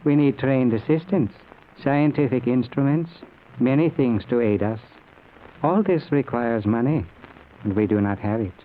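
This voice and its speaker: An actor playing a grave, patient Eastern yogi in an old radio drama, speaking in measured, formal phrases. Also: male voice, mid-range voice, phone-quality sound, natural voice. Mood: grave, coaxing. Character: grave, patient yogi, wise mystic teacher from the East. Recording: noisy, thin old radio broadcast recording.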